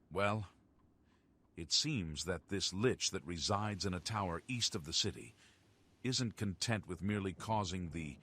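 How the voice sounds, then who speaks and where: A man playing a grave, thoughtful townsman in a fantasy game, speaking in a measured, formal way. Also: sits low, a bit bright, slightly rough, heavily acted. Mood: grave concern. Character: grave, worried male townsman of a fantasy city.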